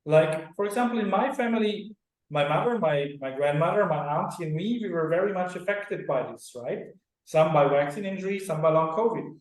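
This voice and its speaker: A man's voice: a little high, fairly quick. Echoey, distant Austrian-born man. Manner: earnest, explanatory, often tags sentences with "right?". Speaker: clinic director and co-founder. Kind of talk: radio interview guest.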